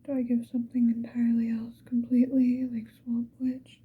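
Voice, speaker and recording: A woman whispering breathily in a casual, unhurried ASMR get-ready-with-me chat, with self-deprecating murmured asides. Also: a little high, level tone. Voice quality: breathy whisper, mostly unvoiced. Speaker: ASMR video creator. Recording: dull, muffled sound with faint noise.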